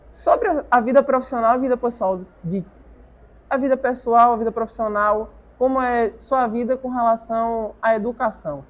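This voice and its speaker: A woman's voice: medium pitch, rough recording. A woman podcast host introducing a guest and handing him the floor, stumbling a little as she searches for words.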